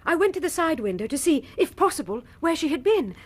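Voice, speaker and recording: A woman playing a loyal, distraught young Victorian gentlewoman in a radio drama, earnest and genteel, with an English accent. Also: high-pitched, big pitch swings, a little fast. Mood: earnest, guarded.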